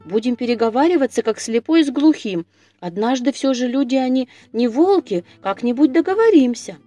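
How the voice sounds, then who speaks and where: A woman's voice: high-pitched, animated, moderate pace, mellow, dry recording, faint music behind. A woman voicing a puzzled, wary young peasant castaway in a storybook tale, with a wondering, questioning manner.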